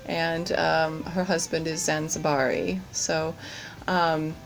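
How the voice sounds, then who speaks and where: A woman's voice: low-pitched, level tone, takes it slowly, creaky, soft background music, poor audio. A woman answering interview questions about her work, hesitant, with many fillers and restarts. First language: English. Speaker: course participant, general manager.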